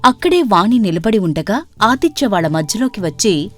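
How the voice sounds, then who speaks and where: A woman's voice: medium pitch, sing-song, moderate pace. A woman reading scripted narration for a moral story as its storyteller. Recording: music bed under the voice.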